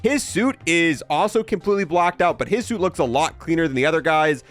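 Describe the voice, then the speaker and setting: A man's voice: a little high, animated, fairly quick, fairly bright tone, faint music behind. A man giving casual, offhand, wry gameplay commentary as a video game reviewer.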